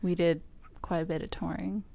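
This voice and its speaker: A woman's voice: fairly low pitch, little pitch movement, moderate pace, creaky, warm tone, dry recording. A young woman from Southern California, chatting casually in an interview, with lots of likes and ums. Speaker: guest musician.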